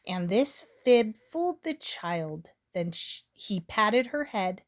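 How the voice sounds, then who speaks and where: A woman reading a rhyming children's story aloud dramatically, deliberate and expressive, pausing for effect. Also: mid-range voice. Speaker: storybook reader.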